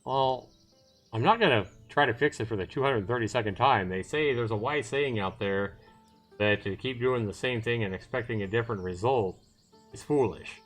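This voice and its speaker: A man's voice: mid-range voice, moderate pace, faint music behind. A man livestreaming a visual novel, reading it aloud and adding chatty commentary as he thinks aloud. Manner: chatty, thinks aloud between read passages. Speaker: livestream host.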